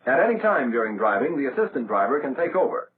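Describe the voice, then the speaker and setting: An American man narrating a 1940s military training film in a measured, instructional way, as a scripted voice-over. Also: mid-range voice, big pitch swings. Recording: tinny, band-limited, noisy old film soundtrack.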